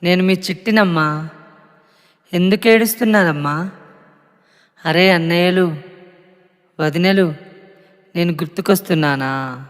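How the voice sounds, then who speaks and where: The spirit of a murdered young woman, voiced by a man, mourning and pleading with her family. The voice sits fairly high and the words come very slowly. A long reverb trails behind every phrase. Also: fairly bright tone. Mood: tender, sorrowful. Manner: pleading, sorrowful address to family. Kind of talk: emotional monologue.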